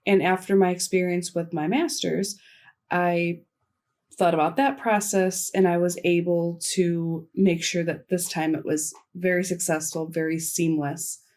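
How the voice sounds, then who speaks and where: Low-voiced woman interpreting a keynote. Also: fairly even intonation, darkish tone. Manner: measured, delivered in short phrases with pauses. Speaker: sign language interpreter voicing a keynote. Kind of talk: simultaneous interpretation of a conference talk.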